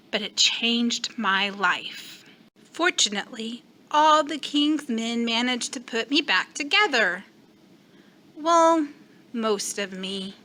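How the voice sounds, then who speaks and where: A woman teacher reading a storybook aloud to young children with deliberate, expressive phrasing. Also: a little high.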